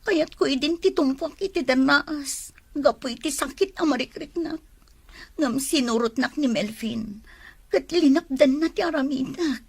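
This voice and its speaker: A woman acting a tender, lovelorn young rural homemaker in an audio drama, with an Ilocano accent and breathy, plaintive delivery. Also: high voice, measured pace. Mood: sad, confiding.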